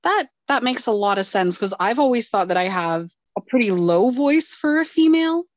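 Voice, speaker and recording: A woman's voice: fairly high pitch, expressive, normal speed, dry recording, dull audio. A woman co-hosting a podcast in casual chat, joking drowsily about herself. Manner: self-deprecating, drowsy joking. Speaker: podcast co-host.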